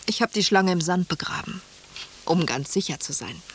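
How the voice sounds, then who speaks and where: An actress playing an imperious, theatrical beauty in a radio play. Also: female voice, lowish voice, animated, moderate pace, slight background noise. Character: imperious, theatrical beautiful woman back from swimming. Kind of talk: German radio play acting.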